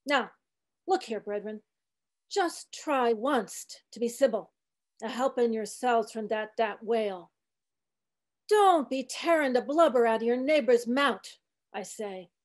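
A grumbling old ship's cook mumbles a sermon with sullen weariness, as a woman reading aloud puts him on. The delivery is slow and high-pitched for a man. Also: lively intonation.

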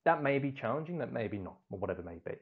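A man's voice: medium pitch, very animated, rapid-fire, phone-quality sound. A man in his thirties teaching a slide lesson as a strength coach, chatty and repeating words for emphasis, recorded on a webcam indoors. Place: indoor room, webcam in a corner circle.

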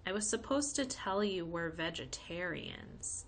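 A woman's voice: lowish voice, animated, a little slow, vocal fry, a little hiss or hum. A woman voicing an earnest, innocent, friendly young schoolboy in a storybook, with piping delivery.

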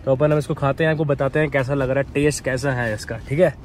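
A man's voice: medium pitch, fairly even intonation, fast talker, slight background noise. A young man chatting casually and informally to camera as a solo travel vlogger, outdoors. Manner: informal, bro-peppered talk. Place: outdoors at a mountain campsite.

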